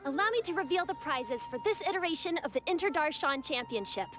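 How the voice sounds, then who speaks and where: A woman's voice: sits high, lively intonation, quick pace, music in the background. A woman playing a confident festival organizer in an anime video game, proclaiming with formal ceremony. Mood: ceremonial, confident.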